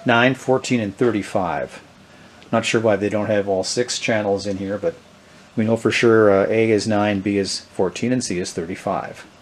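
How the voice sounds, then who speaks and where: Middle aged midwest guy, kinda low voice, casually showing off gear, halting and thinking out loud. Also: steady tone. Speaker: presenter of a vintage electronics channel. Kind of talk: casual unscripted product demonstration. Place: indoors, small tabletop product-shooting setup.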